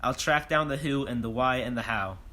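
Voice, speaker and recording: An actor playing a bold, self-important police detective, declaiming in rhyme in a comic audio drama. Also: male voice, medium pitch, moderate pace, sharp tone, slight background noise, close to an everyday voice. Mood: accusing, boastful. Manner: declamatory, questioning, speaks in rhyme.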